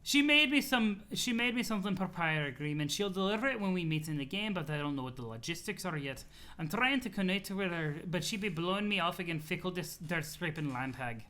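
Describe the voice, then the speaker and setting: Man putting on a haughty, ranting Scottish-accented troll, bright and high. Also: animated, fairly quick. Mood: sneering. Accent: put-on Scottish. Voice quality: silly put-on accent. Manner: pompous, indignant, ranting. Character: haughty, foul-mouthed, megalomaniacal young male troll sea dweller. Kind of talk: comic read-aloud of a webcomic.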